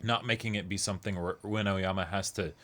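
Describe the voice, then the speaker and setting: A man's voice: low voice, steady tone, a little fast, fairly bright tone. A young man co-hosting a casual discussion show, earnestly feeling his way through each point. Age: young adult. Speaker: co-host of an anime reaction show. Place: small indoor room, seated before a backdrop.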